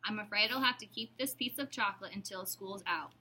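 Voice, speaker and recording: A woman's voice: medium pitch, brisk, bright, forceful tone, slightly rough. A woman voicing a stern but concerned primary school teacher in a storybook, firm and admonishing.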